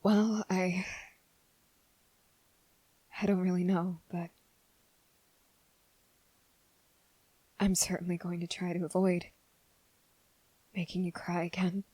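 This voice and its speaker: A woman voicing a nervous, earnest, gentle young man in an ASMR roleplay, speaking haltingly and softly, often slipping into a whisper. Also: lowish voice, little pitch movement, no room sound, low-quality recording. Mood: nervous, hesitant. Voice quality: soft, breathy, slipping into whisper. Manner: halting, hesitant, often pausing mid-sentence. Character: nervous, earnest, gentle young male trainee confessing his feelings. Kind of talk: ASMR roleplay addressed to the listener.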